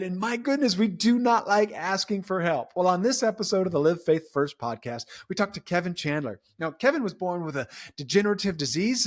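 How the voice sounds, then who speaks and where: Upbeat podcast host, higher-pitched man. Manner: upbeat and encouraging, rapid bursts. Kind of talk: podcast intro and interview.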